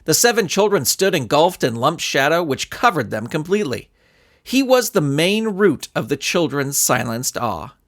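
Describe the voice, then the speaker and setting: A man reading a scripted story aloud in a theatrical, expressive storytelling manner. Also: on the high side, normal speed. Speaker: narrator and author reading his own story. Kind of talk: animated scripted audiobook narration.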